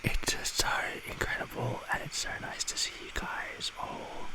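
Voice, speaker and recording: A man whispering to his viewers as an ASMR creator, speaking in a halting, sincere way. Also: rough recording.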